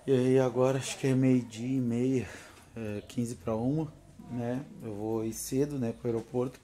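A man's voice: mid-range voice, warm tone, slight background noise. A man in his thirties with a southern Brazilian accent, vlogging casually into a phone at arm's length. He is tired and nervous, chatting loosely and laughing often. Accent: southern Brazilian Portuguese. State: tired from no sleep. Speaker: paranormal vlogger. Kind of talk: casual selfie vlog. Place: home porch, then inside a car. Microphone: phone held at arm's length.

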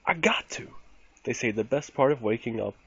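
A man giving a mock-dramatic spoken reading of rap lyrics, theatrical, with deliberate pauses between short phrases. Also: medium pitch, animated, gravelly, fairly bright tone, slightly rough. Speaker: performer of dramatic readings in an online game. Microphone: computer mic over game voice chat. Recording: muffled, noisy game voice chat.

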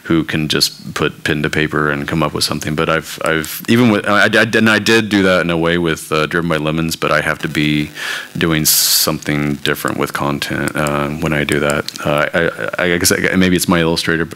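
Brief: deep-voiced male guest, hesitant and monotone, bright edge. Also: clean tone. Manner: halting, repeats words, self-described monotone. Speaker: guest cartoonist. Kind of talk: live panel conversation. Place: large hall stage.

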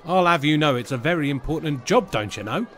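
An actor playing a self-important, put-upon village bailiff with a British accent and colloquial speech in a comic audio drama. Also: male voice, a little high, animated, a little fast, fairly bright tone, soft background music. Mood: self-important, irritated.

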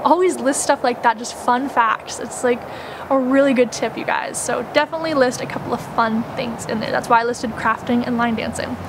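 A woman's voice: on the high side, fairly even intonation, brisk. A young woman in her twenties from the United States, giving casual advice in a chatty way full of "like" and "um", recorded outdoors in a garden on a camera mic. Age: 20s. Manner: chatty, filler-heavy with frequent "like" and "um". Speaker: travel vlogger. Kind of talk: casual instructional chat. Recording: outdoor ambience with passing traffic.

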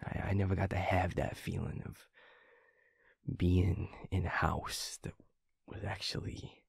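A man playing a tender, overwhelmed young werewolf boyfriend in an intimate audio roleplay, husky and gravelly, murmuring haltingly to a partner. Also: sits low, little pitch movement, no room sound, in a whisper, studio quality, exaggerated voice. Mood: reflective, vulnerable.

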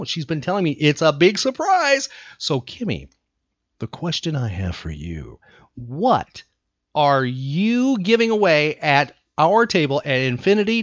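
Casual American male podcast host, emphatic, lightly high pitch, very dry studio sound. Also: no echo, dull audio. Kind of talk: casual talk-show hosting.

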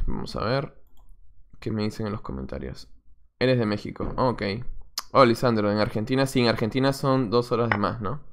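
A man's voice: mid-range voice, expressive, unhurried, pauses often. A young man, a chess coach, commenting on his own game as he plays it live, muttering moves and chatting to viewers from a small room at a desk webcam. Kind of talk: live-stream commentary while playing.

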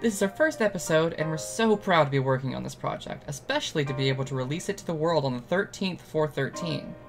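A woman's voice: sits low, animated, quick pace, over music. A woman speaking as director and editor, delivering a scripted announcement and credits.

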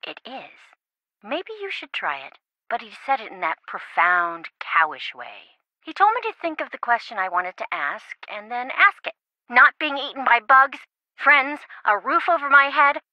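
An actress playing a bubbly, scatterbrained young best friend in a video game, chatty, playful and melodramatic, in band-limited audio. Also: female voice, fairly high pitch, big pitch swings, moderate pace, dry recording, muffled recording. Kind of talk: video game phone-call dialogue.

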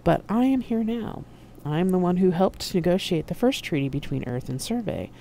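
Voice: medium pitch, animated, moderate pace, gravelly, dark tone, slight background noise, pitched above the performer's own voice. A narrator voicing a serene, commanding female avatar of a revered scientist in an audiobook. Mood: assured, measured.

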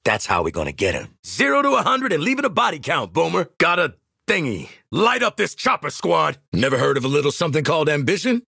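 A man with an American accent voicing a cocky, sardonic marksman assassin in a video game, streetwise and wry, with a gritty, husky voice. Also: on the high side, sing-song, brisk, bright, forceful tone, no echo, clean studio sound, exaggerated voice. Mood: urgent, fired up. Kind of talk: video game combat barks and banter.

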